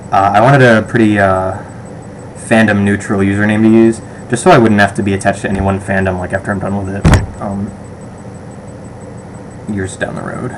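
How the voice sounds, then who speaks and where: Low-voiced upstate New York young man. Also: slight background noise, rough recording. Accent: western/upstate New York American. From western New York, USA. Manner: rambling, many ums and restarts. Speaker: vlogger. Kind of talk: casual survey vlog.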